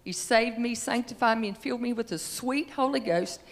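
A woman in her fifties with a slightly raspy voice gives an earnest, halting testimony of faith to a congregation, speaking into a handheld microphone. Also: a little high. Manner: earnest, halting, emphatic on key phrases. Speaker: church member giving testimony before singing. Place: large church sanctuary. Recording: reverberant church hall through handheld microphone.

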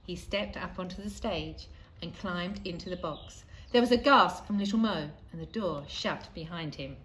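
A middle-aged woman reading a children's storybook aloud expressively, with deliberate, dramatic pauses. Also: fairly low pitch, a bit bright, rough recording. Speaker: primary school staff member reading aloud. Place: outdoors in a leafy school playground. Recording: faint outdoor ambient noise.

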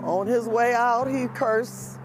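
Slow-spoken woman in her eighties. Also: on the high side, fairly even intonation, music in the background. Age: 80s. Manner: slow, deliberate storytelling. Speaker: retired nurse interviewee. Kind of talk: interview recollection. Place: outdoors by a city street.

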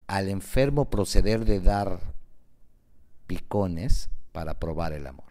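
A man narrating a radio novel in a measured, dramatic way with deliberate emphasis. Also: sits low, a little mellow.

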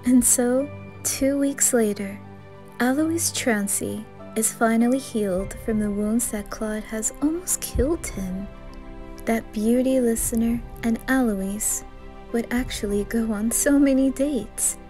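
A woman's voice: fairly high pitch, slow pace, mellow, soft background music. A woman narrating a story as its storyteller.

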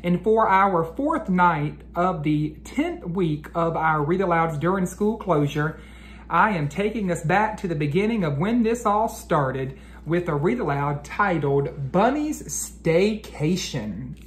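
An American man in his thirties, an educator doing an expressive, carefully enunciated read-aloud for children. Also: high-pitched, takes it slowly. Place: living room at home, indoors. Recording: roomy echo, clear background noise.

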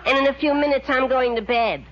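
A man's voice: very high-pitched, a little fast, dull audio. An actor playing a naive, gluttonous teenage boy in 1940s radio comedy, whiny, on a noisy old recording. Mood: flustered. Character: Homer, gluttonous, slow-witted good-natured teenage boy.

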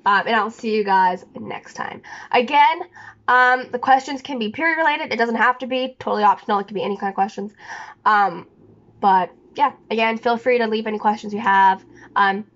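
A young woman chats to her camera in a small bedroom, rambling with many fillers and restarts. Her voice sits a little high and sounds bright, though sniffly and scratchy from a coming cold. The audio is dull and lo-fi, like a phone recording. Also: normal speed. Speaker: vlogger. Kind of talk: rambling casual chat to camera.

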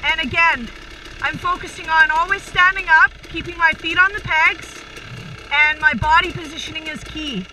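A woman's voice: very high-pitched, steady tone, moderate pace, bright, forceful tone, slight background noise, low-quality recording. A young woman giving upbeat riding tips while out on a forest trail on a dirt bike, recorded on a helmet camera mic. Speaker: motovlogger riding instructor.

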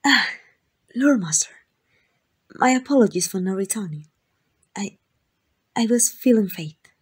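A woman with a Mexican accent playing a tender, devoted queen in a romantic ASMR roleplay, speaking in gentle, intimate, breathy whispers. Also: medium pitch, animated, a little slow. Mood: nervous, formal. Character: tender, devoted queen in secret love with a commoner.

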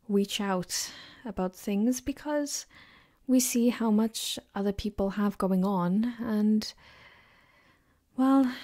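A tender girlfriend voiced by a woman comforts her partner in an ASMR roleplay. Her voice is fairly high and mellow, close to the mic and partly whispered. She takes her time, rambling gently and hesitantly. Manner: soft-spoken, hesitant, rambling. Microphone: close binaural microphone.

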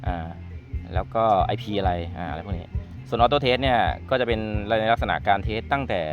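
A man giving an informal hands-on product walkthrough as a trainer, repeating words as he explains. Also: sits low. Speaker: product trainer demonstrating network testers. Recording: muffled lo-fi audio, music underneath. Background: background music.

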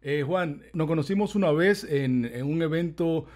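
A man's voice: a little high, moderate pace, a little mellow. A middle-aged man hosting a YouTube interview, upbeat and welcoming, speaking into a studio mic in a home studio. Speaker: interviewer host. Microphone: studio mic on boom arm.

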